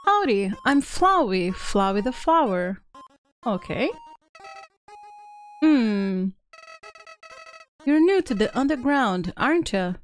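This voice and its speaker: A woman streamer with a fairly high voice talks casually to her viewers as she plays. She is playful and exclamatory, reading chat and game text aloud at a very unhurried pace. Faint game music plays behind her. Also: sing-song. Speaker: streamer, video game host. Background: faint video game music.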